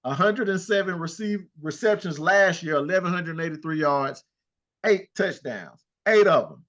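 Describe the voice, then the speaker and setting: An older man talking sports with a higher-pitched voice and lots of swagger, reeling off numbers and needling his co-host. The sound is dull and lo-fi. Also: normal speed. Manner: animated, trash-talking, rattles off stats. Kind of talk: casual sports talk.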